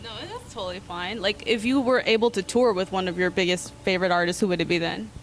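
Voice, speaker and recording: A woman's voice: medium pitch, steady tone, quick pace, a little hiss or hum. A woman in her twenties hosting a casual music interview, chatty and upbeat with lots of filler words. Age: 20s.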